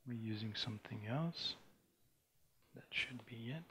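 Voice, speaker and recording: A man from Bulgaria, giving a technical conference talk with a live demo and talking in a halting way, with many restarts and fillers. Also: mid-range voice, a bit bright, slight background noise. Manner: halting, frequent restarts and fillers while demoing. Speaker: conference speaker. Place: conference room before an audience.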